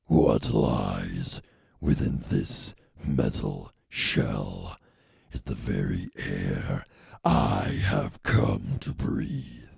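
A man playing a monstrous half-machine cult leader in an audio story, preaching solemnly and menacingly in a gravelly rasp. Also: extremely low pitch, sing-song, drawn-out words, a little mellow, no room sound, clean studio sound, exaggerated voice. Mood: grandiose, preaching. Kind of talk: dramatic audiobook character acting.

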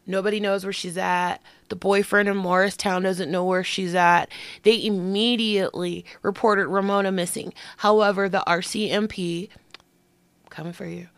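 A woman's voice: lowish voice, a bit bright, dry recording. A woman hosting a true crime podcast with allergies and a slightly nasal voice, reading the case and slipping into casual, profane asides. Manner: reads the case then breaks into slangy, profane asides.

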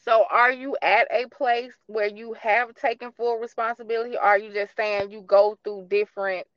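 A high-voiced American woman hosts a podcast in casual, colloquial speech. She tells stories with emphasis and plenty of slang. The sound is close and dry, slightly lo-fi. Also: fairly even intonation, normal speed, no echo. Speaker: podcast host. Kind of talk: casual animated chat and interviewing.

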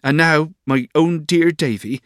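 A man's voice: a little high, a little slow, a bit bright, clear, resonant tone, dry recording, clean studio sound, voice pushed up. A man voicing a doting, humble older woman servant in a storybook reading, with tender, coaxing, rambling endearments. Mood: tearful, tender.

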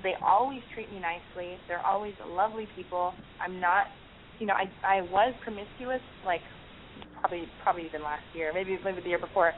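Woman podcast host, chatty and run-on, narrow lo-fi phone-like audio with faint hiss. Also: fairly low pitch. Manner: talkative, quick restarts, frequent "like". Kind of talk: casual call-in chat and storytelling.

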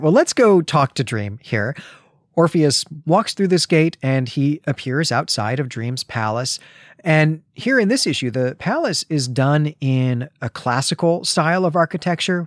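A man of average pitch speaks as a podcast co-host discussing literature conversationally. His commentary is wry and opinionated. The recording is dry, with no room echo to speak of.

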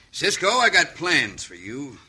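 An actor playing a cruel, taunting outlaw gang boss in an old radio western, with a folksy American cowboy drawl. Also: male voice, fairly high pitch, huge pitch swings, takes it slowly, pushed and bright, husky, exaggerated voice. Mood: gloating.

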